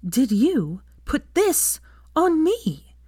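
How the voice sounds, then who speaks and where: A woman's voice: sits high, huge pitch swings, extremely slow, dark tone, no room sound, studio quality, voice pushed up, heavily acted. A woman voicing a furious young witch who shrieks her accusations. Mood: angry.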